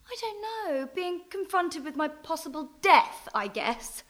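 An actress playing a plucky, brash and impatient action heroine in a radio play. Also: female voice, extremely high pitch, sing-song, measured pace, fairly bright tone, heavily acted. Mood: impatient, probing. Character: plucky, bolshy action heroine of adventure novels.

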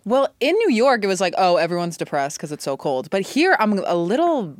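Giggly woman with a lively voice. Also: mid-range voice, brisk, dry recording, clean studio sound. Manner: giggly, playful. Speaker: podcast co-host. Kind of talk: casual podcast chat.

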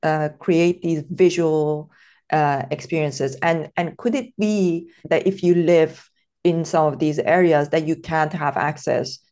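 A woman's voice: sits low, unhurried, dark tone. A woman from Iran hosting a casual podcast interview, thoughtful and hesitant, with many fillers and restarts.

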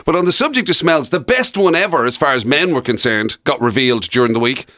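An Irish man chats as a radio co-host in a dry, close-sounding recording. His mid-pitched voice moves up and down a lot as he banters with self-mocking humour. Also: animated, quick pace, bright, forceful tone, no room sound. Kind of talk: casual bantering chat.

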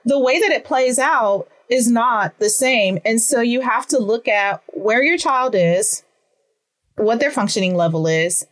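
A woman leads an interview, asking questions and backing up her guest with frequent quick agreements. Her voice sits fairly high. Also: lively intonation. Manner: affirming, with many quick agreements. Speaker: interview host. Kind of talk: conversational interview.

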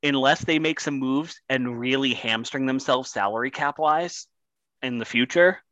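A man's voice: mid-range voice, normal speed, a bit bright, no room sound. A man co-hosting a casual sports podcast, building his points with repeated phrases. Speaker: podcast co-host.

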